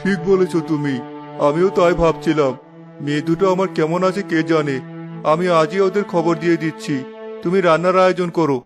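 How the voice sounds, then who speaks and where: An agreeable middle-aged cartoon father acted by a man. He sounds fatherly and obliging. Music plays clearly in the background. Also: fairly high pitch, steady tone, a little fast, close to an everyday voice. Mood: tender. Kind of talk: cartoon dialogue dub.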